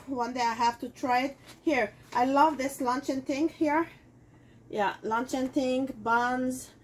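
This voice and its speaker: A woman's voice: high-pitched, a little hiss or hum, low-quality recording. A middle-aged woman, a native Armenian speaker, chatting casually through a cooking demo in her home kitchen, with loose phrasing and many fillers. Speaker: cooking show host selling kitchenware.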